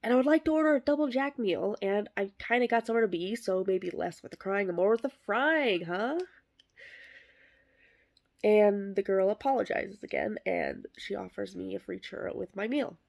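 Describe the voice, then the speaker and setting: A woman voicing a bitter, sardonic middle-aged male horse actor, delivering a comic eulogy monologue in a deadpan, wry way. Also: mid-range voice, normal speed, vocal fry, hardly any pauses. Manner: deadpan, self-deprecating, wry.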